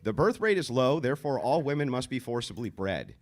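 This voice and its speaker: A man's voice: mid-range voice, big pitch swings, a little fast, a little mellow. A man delivering a sardonic, emphatic debate argument. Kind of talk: debate speech played back.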